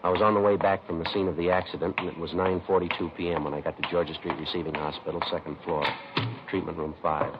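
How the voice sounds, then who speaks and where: A man playing a brisk, matter-of-fact police detective in a 1950s radio drama. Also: low-pitched, little pitch movement, lightly acted. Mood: flat. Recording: noisy, dull old radio recording.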